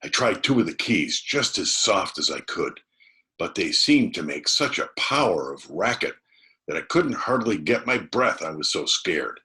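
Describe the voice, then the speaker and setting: Guy reading as a scared excited country boy from a storybook, voice jumping up high, breathless folksy talk. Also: unhurried, heavily acted. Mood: frightened, excited.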